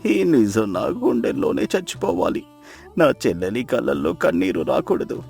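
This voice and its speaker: A man's voice: mid-range voice, big pitch swings, normal speed, creaky, darkish tone, husky, heavily acted. A man voicing a broken, half-mad beggar in a melodramatic moral story, pitiful, emotional and wailing. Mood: sorrowful, resigned. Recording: music behind the voice.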